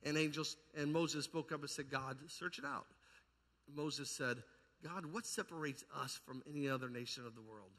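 High, bright-voiced preacher in reverberant hall. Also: male voice, normal speed. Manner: fervent, emphatic, repeats phrases for emphasis; puts rhetorical questions to the congregation. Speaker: pastor preaching to a congregation. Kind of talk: charismatic evangelical sermon. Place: large indoor church hall. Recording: long hall reverb, amplified church sound.

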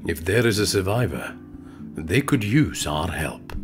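A man's voice: low voice, animated, measured pace. A man acting in an audio drama as an earnest, altruistic helper with a formal, precise way of speaking. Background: music.

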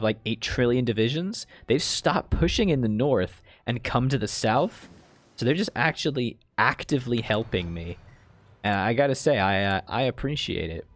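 A man in his thirties with a gravelly voice, livestreaming a strategy game from home, chatty and joking while reading chat and thanking followers. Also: mid-range voice. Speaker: streamer. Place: home streaming room, indoors.

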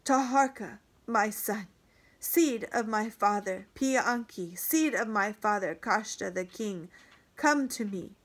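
A woman's voice: mid-range voice, slow. A woman voicing a dying old male god-king who whispers faintly, then speaks with solemn command.

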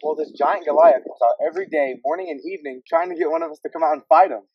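Man playing a fast, husky excitable soldier. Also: on the high side, no room sound, dull audio. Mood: resigned. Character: frightened ordinary male soldier, defensive and excitable. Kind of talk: amateur Bible story dramatization.

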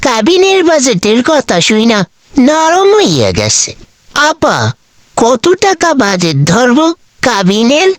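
A performer acts out a sly, timid cartoon henchman with a Bangladeshi accent. The voice swings widely in pitch and drags its words, flattering and wheedling in a dry, close recording. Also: dry recording. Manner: wheedling, drawn-out, theatrical. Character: scheming, timid, flattering crow-nicknamed male political lackey. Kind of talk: comic cartoon dialogue.

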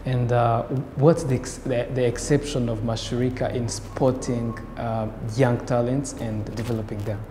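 A man's voice: medium pitch, level tone, moderate pace, few pauses, mellow. Male interviewer, young adult, formal delivery, reverberant room with music behind. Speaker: interviewer, host. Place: indoor room with bare walls, seated.

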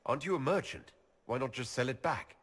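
An actor playing an earnest, direct male elf leader, with a husky voice. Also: mid-range voice, lively intonation, a little fast, a bit bright, big-room sound. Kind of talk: video game voice acting.

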